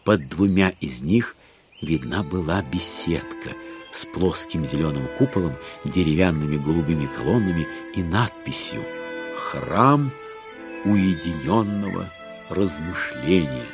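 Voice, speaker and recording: A man narrating a classic literary audio drama with expressive, theatrical phrasing. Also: rumbling low, measured pace. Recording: music behind the narration.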